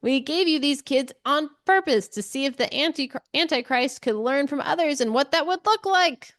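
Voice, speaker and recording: A woman's voice: sits high, lively intonation, moderate pace, fairly bright tone, no room sound, studio quality, voice pushed up. A woman voicing a gloating female government villain in a mock-dramatic podcast retelling of a story. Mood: gloating, amused.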